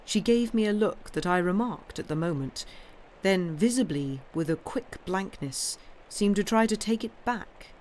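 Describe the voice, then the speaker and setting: A woman reading a classic novel aloud in an expressive, literary narrating style. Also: medium pitch, a little slow, few pauses. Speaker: reader, streamer. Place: live stream. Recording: clearly noisy background.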